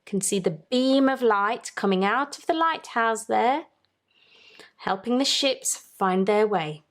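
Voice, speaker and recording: A woman hosting a children's story time, reading aloud in a deliberate, teacherly way and putting questions to young listeners. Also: fairly high pitch, lively intonation.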